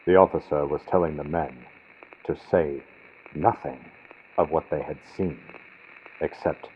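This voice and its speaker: A man's voice: extremely low pitch, a little slow, muffled recording, a little hiss or hum. A man narrating a gothic horror audiobook from a script, recorded on a built-in computer mic for a vintage radio sound. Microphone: built-in computer microphone.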